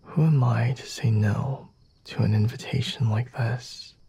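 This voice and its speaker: A man voicing a flirty, condescending vampire in an ASMR roleplay, speaking deliberately in a husky half-whisper with sighs and hums. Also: mid-range voice, steady tone, warm tone, a little hiss or hum. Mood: seductive, intimate. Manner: slow, deliberate, formal wording with sighs and hums. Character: flirty, condescending, merciful male vampire, self-assured and teasing.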